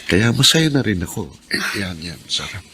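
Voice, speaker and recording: A man's voice: deep voice, very animated, normal speed, bright, forceful tone, breathy edge, full character voice. A man playing a kind, generous, affectionate husband in a Filipino radio drama. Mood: tender, eager.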